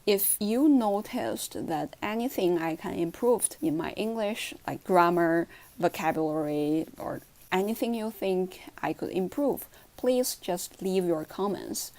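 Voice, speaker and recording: A woman's voice: on the low side, a little mellow. A woman with a Chinese accent, a native Mandarin speaker, earnestly explaining language-learning tips step by step in a casual vlog.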